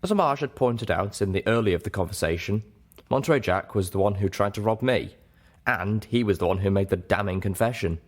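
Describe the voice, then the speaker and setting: A man's voice: fairly low pitch, brisk. A man reading scripted first-person fiction aloud as an audiobook narrator.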